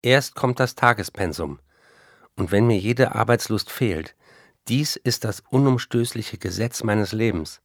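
A driven male novelist in a radio play, low-voiced and recorded very dry. He speaks with precise, emphatic defiance, as if every sentence were law. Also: expressive, measured pace, no room sound. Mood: resolute.

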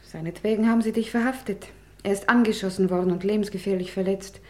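An actress playing a concerned, loyal woman friend in a radio crime drama, soft and composed. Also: medium pitch, steady tone, fast, close to an everyday voice. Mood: grave.

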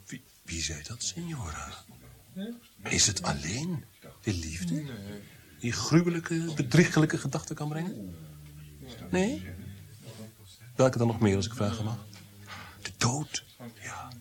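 An actor playing a jealous, tyrannical Renaissance prince in a radio drama, theatrical and mocking, moving between hushed menace and outbursts. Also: male voice, mid-range voice, big pitch swings, slow pace, long pauses, crowd noise. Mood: brooding, menacing.

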